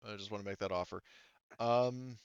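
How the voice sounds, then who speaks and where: A man's voice: lowish voice, fairly even intonation, normal speed, clear, resonant tone, dry recording. A man acting as game master, casually and somewhat hesitantly wrapping up a tabletop session over a slightly dull recording.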